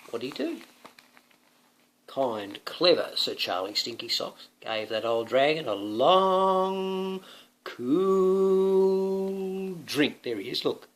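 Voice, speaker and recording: A man reading a children's storybook aloud in an animated, playful way, like a dad with questions for his young listener. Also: medium pitch. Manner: dramatic, playful, often asking the listener questions. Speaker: father reading a picture book to his young son.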